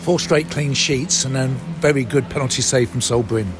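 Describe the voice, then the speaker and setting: A man interviewing a football manager after the match, asking short, measured questions. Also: mid-range voice, background noise. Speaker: club interviewer.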